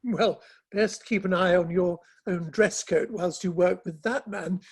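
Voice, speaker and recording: Man playing dry victorian gent, high raspy voice, formal, understated irony, play reading. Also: measured pace, full character voice. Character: dry, measured Victorian gentleman, mildly ironic confidant.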